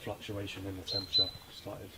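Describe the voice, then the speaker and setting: A man with a fairly low voice hosts a ghost-hunting vlog in a casual, conversational way. The recording is noisy handheld camera audio, with clear rustle and background noise around his words. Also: monotone, brisk. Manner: addresses viewers and calls out questions to spirits. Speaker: paranormal investigation host. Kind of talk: casual exploration vlog talk. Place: old stone tower and muddy surroundings.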